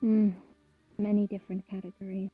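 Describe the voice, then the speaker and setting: Woman as disgruntled fantasy townswoman, flat intonation, deadpan, condescending, dull online audio. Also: mid-range voice, a little fast, husky, faint music behind, lightly acted. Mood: disgruntled, distrustful. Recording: dull, roomy online voice chat.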